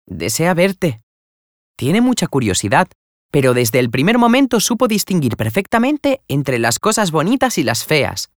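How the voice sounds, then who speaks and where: A man voicing a gentle, loving young lady who pleads affectionately with her cousin. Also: fairly high pitch, wildly swooping pitch, normal speed, no room sound, studio quality, voice pushed up. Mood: tender.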